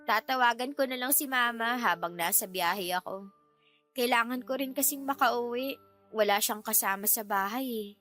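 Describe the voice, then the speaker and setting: An actress playing a feisty young Filipina in love in a radio drama, expressive and colloquial with a Filipino accent. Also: female voice, a little high, normal speed, soft background music. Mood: tipsy, pleading.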